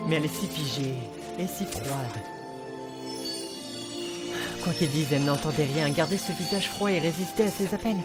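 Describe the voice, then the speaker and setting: Loud music surrounds a man acting as an excitable, romantic fantasy suitor in an amateur dub. His voice sits fairly high, and he tells his tale tenderly and theatrically. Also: normal speed, long pauses, lightly acted.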